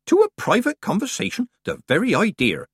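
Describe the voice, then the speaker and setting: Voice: mid-range voice, wildly swooping pitch, brisk, breathy edge, no echo, clean studio sound, voice pushed up. A reader voicing a sly, mischievous old woman witch who speaks in a teasing, coy way.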